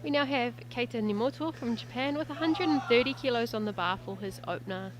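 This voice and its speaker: A woman's voice: a little high, fairly quick. A native English-speaking woman doing live sports commentary, chatty and lightly joking.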